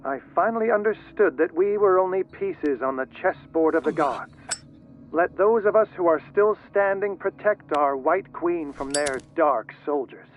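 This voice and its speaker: An actor playing a solemn scholar obsessed with occult secrets, reading letters formally through a radio-like filter in a video game. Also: male voice, a little high, moderate pace, faint music behind. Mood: solemn, confessional. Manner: formal, literary. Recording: tinny, narrow radio-like sound.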